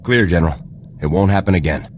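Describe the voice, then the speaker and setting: An actor playing a dutiful, restrained military lieutenant in a video game, terse and earnest. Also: male voice, sits low, fast, slight background noise, close to an everyday voice. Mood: respectful. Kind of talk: video game character acting.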